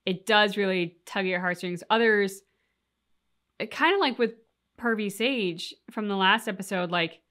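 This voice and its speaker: Bright-voiced woman chatting casually. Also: medium pitch, normal speed. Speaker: reaction video co-host. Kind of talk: casual chat.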